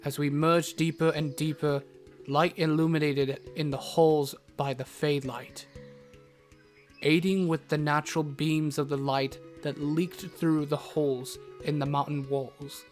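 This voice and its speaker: A man with a slightly high voice narrates a fantasy tale at a slow pace. His wording is formal and story-like, and music plays softly beneath him. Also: flat delivery. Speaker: narrator, in character as a travelling doctor. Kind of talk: scripted first-person fantasy audio drama narration. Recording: music bed under narration.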